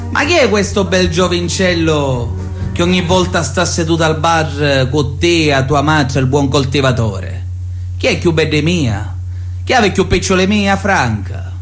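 Menacing Sicilian man of honour, stage monologue, highish voice, very animated pitch, taunting, boastful, faint music. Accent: Sicilian Italian. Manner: boastful, taunting, veiled threats. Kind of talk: theatrical monologue.

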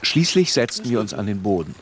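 A man giving a measured, deliberate literary narration in a radio play. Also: fairly low pitch, sing-song, fairly bright tone, slight background noise.

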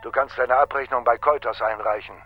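An actor playing a stern, imperious boss, curt and formal, on a muffled phone call in a radio crime drama. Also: male voice, medium pitch, steady tone, a little fast, husky, natural voice. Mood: reproachful. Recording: muffled, band-limited telephone call.

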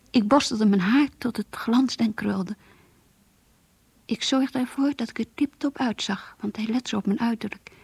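A woman playing an earnest, lovestruck young girl in a radio play, emotional and yearning, with a breathy, husky voice. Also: fairly high pitch, a little mellow, no room sound, exaggerated voice. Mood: wistful, proud. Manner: emotional, yearning, polite and shy. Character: lovestruck, earnest young schoolgirl graduate reading her diary. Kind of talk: Dutch radio play acting, diary narration and dialogue.

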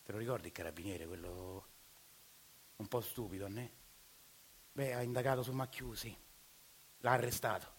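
An actor playing a humbled father speaking softly and tenderly to his wife. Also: fairly low pitch, steady tone, normal speed, pauses often, slight background noise, pitched below the performer's own voice, natural voice. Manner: soft, reflective, tender.

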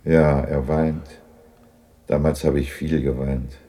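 Low-voiced old miser, softened by memories. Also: male voice, level tone, slow pace, husky, heavily acted. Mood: sad, remorseful. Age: older. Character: old miser Scrooge, remorseful, moved by memories. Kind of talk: 1960s German radio drama acting.

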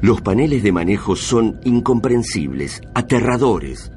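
Deep-voiced, solemn male radio drama narrator. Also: sing-song, a little mellow. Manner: solemn, measured, literary. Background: music.

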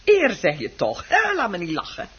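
A very husky, scornful middle-aged wife in a radio drama, nagging with cutting sarcasm. Her delivery swings widely in pitch, and the old recording is muffled. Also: huge pitch swings, moderate pace, heavily acted. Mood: scornful, indignant. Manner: sarcastic, cutting, mocking repetition. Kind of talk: Dutch radio play acting.

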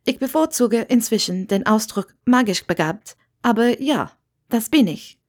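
A woman's voice: a little high, lively intonation, measured pace, a little mellow. A woman reader puts on a friendly witch for an audiobook tale. She is blunt and sure of herself, speaking frankly and matter-of-factly with a mischievous, teasing edge. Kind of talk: audiobook character dialogue.

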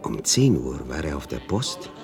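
A man's voice: rumbling low, huge pitch swings, normal speed, music in the background, exaggerated voice. An actor playing a shrewd, talkative Italian informant with an Italian accent who mixes Italian words into his speech in a radio drama. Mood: matter-of-fact. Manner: slips Italian words into broken German.

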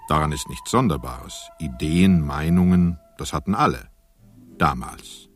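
A man narrating a radio play as a scripted storyteller, measured and wry. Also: rumbling low, expressive. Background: music.

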